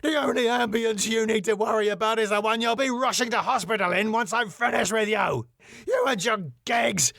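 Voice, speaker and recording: A man's voice: very high-pitched, vocal fry, dry recording, pitched above the performer's own voice, full character voice. A man voicing a raging, pompous middle-aged ballroom owner who rants in theatrical tirades. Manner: furious, theatrical, shouting tirades.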